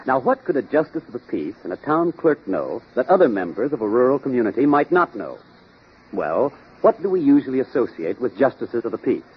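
Clever young radio sleuth: husky, lively intonation, methodical, theatrical, old muffled broadcast. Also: male voice, medium pitch, brisk. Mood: confident reasoning. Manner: theatrical, logical, step-by-step explaining. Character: clever, methodical young male amateur detective explaining deductions. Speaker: detective lead and quiz host. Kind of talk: 1930s radio acting.

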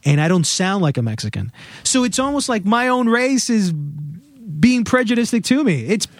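A man, a guest film critic talking and explaining in a casual podcast chat, animated and quick to laugh. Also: high-pitched, dry recording, clean studio sound. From United States.

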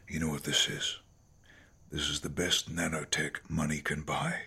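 An actor playing a corrupt, arrogant small-town lawman in an audio drama, unhurried and taunting. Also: rumbling low, level tone, sharp tone, breathy edge, full character voice. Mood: smug, taunting. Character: menacing, smug corrupt small-town lawman with nanotech enhancements.

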